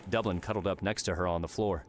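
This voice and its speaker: A man's voice: low voice, steady tone, fast talker. A man narrating a scripted fantasy story as an audiobook narrator. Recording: clearly noisy background.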